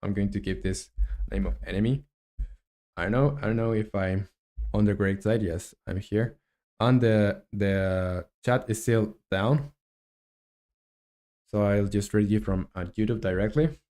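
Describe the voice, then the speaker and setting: A man who speaks Spanish natively, talking casually on a live game development stream, thinking aloud in a halting, hesitant way and reading out chat. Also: lowish voice, warm tone.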